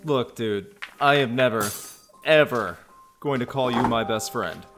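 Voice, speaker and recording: A man's voice: mid-range voice, measured pace, a bit bright, faint music behind, natural voice. A man playing a reluctant, grudging friend in a comic web film, speaking emphatically.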